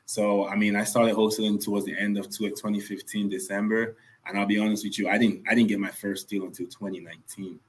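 A man's voice: on the low side, little pitch movement, fast talker, few pauses, poor audio. A young man from Nigeria, a guest on a podcast, telling his story in an earnest way and repeating points for emphasis. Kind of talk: casual podcast interview.

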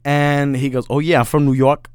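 A man's voice: a little high, little pitch movement, normal speed, a little mellow, clear, resonant tone. A man in his twenties chatting casually, slangy and loose, saying "bro" a lot and swearing freely. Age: 20s. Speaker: podcast host. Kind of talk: casual chat.

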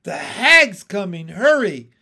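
A man's voice: a little high, big pitch swings, slow pace, creaky, exaggerated voice. A man voicing a bossy, streetwise wild frog in a storybook, impatient, exasperated and emphatic. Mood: urgent, exasperated.

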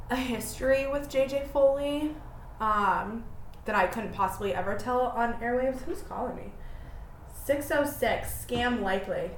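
A woman's voice: medium pitch, a little hiss or hum. Chatty self-deprecating woman in an echoey room. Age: 20s. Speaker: podcast host. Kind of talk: casual solo podcast chat.

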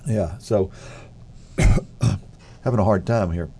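Congested low-voiced man. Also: very slow, gravelly, a little hiss or hum. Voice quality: congested, nasal. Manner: thoughtful, relaxed, frequent affirmations. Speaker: podcast co-host. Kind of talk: conversational faith podcast.

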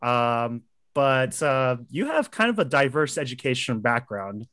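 A man's voice: medium pitch, big pitch swings, a little slow, a bit bright, clean tone, no room sound. Male podcast host, casual and upbeat, dry close mic. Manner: upbeat, friendly, fillers. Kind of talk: podcast interview.

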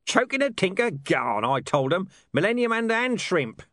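A man's voice: high voice, very animated, normal speed, a bit bright, dry recording, clean studio sound, voice pushed up. A man voicing a foul-mouthed, incoherent old male beggar in an audiobook. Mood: cranky. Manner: mumbling, incoherent.

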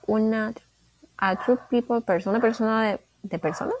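A woman's voice: a little high, moderate pace, a little hiss or hum, low-quality recording. A woman, chatting casually and talkatively as a podcast co-host, slipping in the odd English phrase. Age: 20s. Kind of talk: casual chat.

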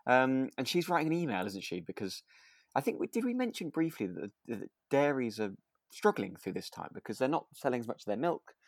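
A British man co-hosting a podcast in relaxed, conversational chat, agreeable and tentative in his phrasing. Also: mid-range voice, a little fast, no echo, studio quality. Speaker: podcast co-host. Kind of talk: relaxed casual chat about a radio drama.

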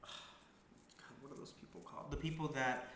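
A man makes his case in casual podcast talk, his bright, slightly high voice pressing the point and swearing for emphasis. The room rings with heavy echo. Faint noise sits underneath. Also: level tone, fairly quick. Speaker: podcast guest. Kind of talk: casual conversation.

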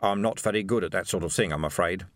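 A man's voice: low-pitched, quick pace, no echo, close to an everyday voice. A man acts out a meek, henpecked husband for an audiobook. He sounds anxious and apologetic, murmuring and faltering, in a close, dry recording. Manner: hesitant, murmuring, sighing. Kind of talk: audiobook character voice.

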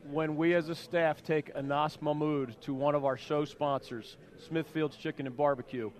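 Chatty jokey radio host guy, kinda high voice. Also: fairly even intonation. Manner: talkative, quick, jokey. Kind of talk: radio interview.

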